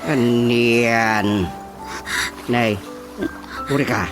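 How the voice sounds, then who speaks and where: A woman's voice: extremely low pitch, drawn-out words, music in the background, heavily acted. An actress playing a village chairman in a radio comedy drama, exclaiming and incredulous. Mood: startled, concerned.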